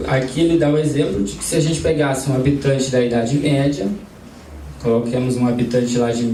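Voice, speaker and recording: Man, group lesson leader, conversational, mellow voice, roomy distant recording. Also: mid-range voice. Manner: conversational, asks the audience questions. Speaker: spiritist study group leader. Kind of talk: informal group lesson with questions to audience. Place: study centre meeting room. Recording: roomy, distant mic, faint background noise.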